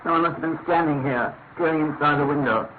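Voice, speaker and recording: A man's voice: fairly high pitch, fast, lightly acted. Man acting lightish-voiced British detective's companion, tinny radio. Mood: observant, curious. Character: observant, plain-spoken male detective's companion, good-natured. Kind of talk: classic radio drama acting. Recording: noisy, narrow-band old radio recording.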